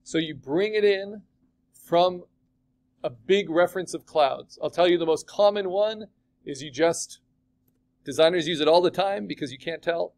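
Guy teaching a photoshop class, kinda high voice, chatty, keeps asking the room stuff. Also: vocal fry, a little mellow. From California. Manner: chatty, asks the class questions. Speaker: art instructor. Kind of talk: classroom software demo.

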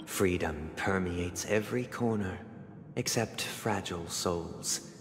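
A man's voice: sits low, slow. An actor playing a grand male ruler in a video game, speaking in a lofty, declamatory way. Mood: solemn. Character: grand, solemn male lord proclaiming his rule. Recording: game audio with long reverb and music.

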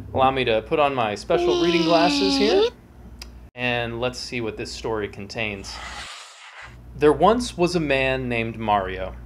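A man in his twenties hosting a dramatic comic reading in a theatrical, mock-serious manner with deliberate enunciation. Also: mid-range voice. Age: 20s. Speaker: show host and reader. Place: small home room with bookshelves, indoors. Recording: clear background hum and noise.

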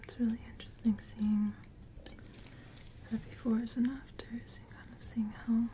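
A breathy, whispering female dental hygienist, played by a woman in an ASMR roleplay. Her voice sits a little high and is softly mellow. She is gentle, attentive and reassuring, never hurried. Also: little pitch movement, close to an everyday voice. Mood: calm, musing. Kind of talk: soft-spoken ASMR personal-attention roleplay.

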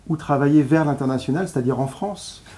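A man's voice: fairly high pitch, a little fast, warm tone. A man in his thirties, deputy director of a school, answering interview questions in a studio with many hesitations. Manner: explanatory, with frequent hesitations. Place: TV studio set, seated at a table.

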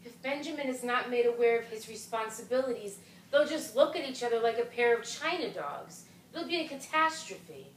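A woman's voice: a little high, lively intonation, normal speed, slight background noise, natural voice, low-quality recording. An actress playing an earnest, moralizing middle-aged mother who loves art and cooking, on a theater stage. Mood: stern. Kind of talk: stage play acting.

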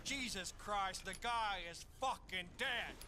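A man's voice: high-pitched, slow pace. An actor playing a brash, irritable, sarcastic soldier in a video game cutscene, griping as he talks. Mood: exasperated. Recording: loud background music.

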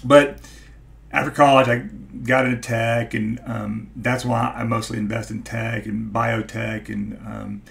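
A man in his forties with a stutter, talking casually to camera in a halting way, searching for his words. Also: medium pitch, little pitch movement, gravelly, fairly bright tone. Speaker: YouTube host, stock investor. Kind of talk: casual vlog talk to camera. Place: small home office, indoors.

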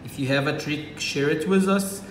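An Egyptian man with an Arabic accent gives a casual, halting demonstration, with lots of fillers and restarts. His voice sits a bit high for a man. It is recorded on a phone in an echoey room with a faint hiss behind it. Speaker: reptile keeper. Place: indoors at a table.

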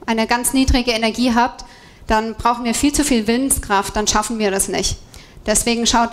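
A woman in her thirties speaking as a coach on stage, explanatory, giving a motivational talk to an audience through a headset mic. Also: a little high. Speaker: co-presenter, movement coach. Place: indoor event room with seated audience. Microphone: headset microphone.

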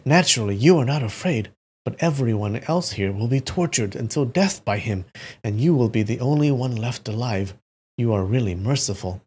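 A wary fighter from a martial-arts audiobook, read by a narrator, husky and earnest. The warnings carry a faint sarcasm. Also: medium pitch, expressive, moderate pace, a little mellow.

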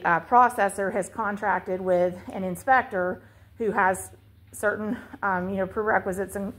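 A woman poultry farmer explaining floor care as she films inside her chicken barn on a handheld phone, walking listeners through her reasoning. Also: a little high. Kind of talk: instructional farm walkthrough. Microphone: handheld phone camera.

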